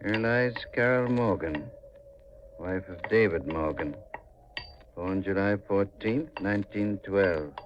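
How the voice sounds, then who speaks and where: A man's voice: deep voice, takes it slowly. An actor playing a plain-spoken, fatherly older village man in a 1950s radio drama, with a rustic manner. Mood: disapproving. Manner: folksy, rustic. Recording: muffled 1950s radio recording, faint noise.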